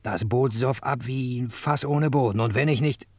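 An actor playing a cocky, tipsy private detective in a wry, swaggering 1950s radio crime play style. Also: male voice, mid-range voice, moderate pace, darkish tone, no echo, natural voice. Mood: wry, boastful. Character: tipsy hard-boiled male private detective, cocky and jaunty. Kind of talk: 1950s German radio crime play, first-person narration.

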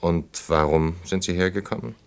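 An actor playing a reserved, awkward middle-aged department head in a naturalistic radio play, halting and dryly formal. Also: male voice, sits low, clear, resonant tone. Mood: awkward flirting.

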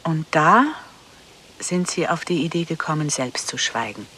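An actress playing a businesslike female contract killer in a radio play, measured, probing and matter-of-fact. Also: low voice, sharp tone, slight background noise.

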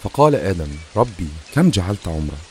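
A man's voice: low voice, sing-song, fairly quick, slight background noise. A man playing a humble first man addressing God in a religious story dramatization. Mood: humble, asking.

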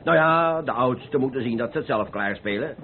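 An actor playing a jovial middle-aged master painter, teasing and chuckling, in an old Dutch radio play. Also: male voice, mid-range voice, expressive, normal speed, lightly acted. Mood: boisterous, jovial. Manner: hearty, chuckling, repeats himself. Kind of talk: old radio drama acting. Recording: tinny, noisy old radio play recording.

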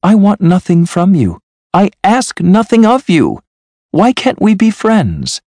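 Friendly young gentleman, the nephew, acted by a male narrator: raised pitch, warm-hearted earnest storybook delivery, dry recording. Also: sing-song, slow pace, no room sound, full character voice. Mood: heartfelt. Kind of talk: storybook character voice.

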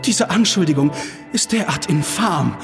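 An actor playing a haughty, theatrical painter's apprentice, affected and pompous, in a German radio drama. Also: male voice, a little high, lively intonation, moderate pace, fairly bright tone, soft background music. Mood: feigned indignation. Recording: long echoing hall.

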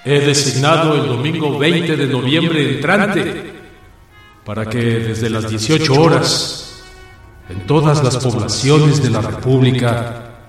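A man acting as a solemn revolutionary leader in a radio play. He proclaims slowly and formally. His voice rings with a huge echo over faint music. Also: medium pitch, close to an everyday voice.

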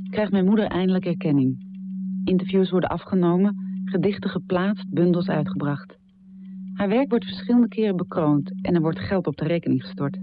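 An actress playing a poet's grown daughter, narrating memories of a difficult mother in a measured, reflective radio drama style. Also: lowish voice, phone-quality sound, noisy background, close to an everyday voice. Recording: thin, band-limited dry radio recording.

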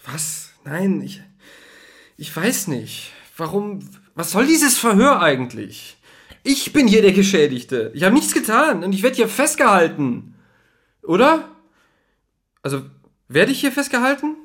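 An actor playing an anxious, confused young man who talks haltingly in a naturalistic German audio drama. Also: sits high, sing-song, fairly bright tone, heavily acted. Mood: agitated, confused. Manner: halting, hesitant.

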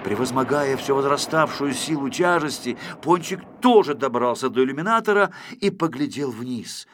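A man narrating a children's story as a dramatized audiobook, in an expressive, dramatic way. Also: medium pitch, moderate pace, a little hiss or hum. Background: music.

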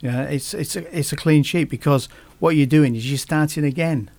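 Male podcast guest, gravelly voice, hesitant delivery with frequent restarts. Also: a little high, darkish tone. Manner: halting, many restarts and fillers. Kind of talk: casual podcast conversation.

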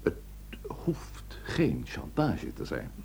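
An actor in a Dutch radio drama playing a sceptical, wry police inspector who hums and probes with questions. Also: male voice, fairly low pitch, huge pitch swings, takes it slowly, gravelly, mellow, slightly rough, exaggerated voice. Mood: probing, wry. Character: gruff, sceptical police inspector, career-minded former suitor.

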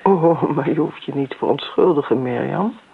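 An actress playing a bitter grown daughter in a Dutch radio play, dry, sarcastic and coolly needling. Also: female voice, sits low, moderate pace, creaky, breathy edge, exaggerated voice. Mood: sarcastic, reproachful. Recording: noisy, narrow-band old radio recording.

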